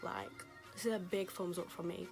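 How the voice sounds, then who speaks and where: Chatty young woman, voice going hoarse. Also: on the low side, steady tone, normal speed. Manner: chatty, rambling, lots of fillers. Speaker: beauty vlogger. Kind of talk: casual makeup tutorial chat. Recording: music playing behind.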